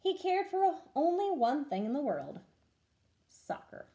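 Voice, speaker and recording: A woman teacher reads a children's story aloud. Her voice is fairly high and mellow, and her intonation is very animated and expressive throughout. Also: a little slow, a little hiss or hum.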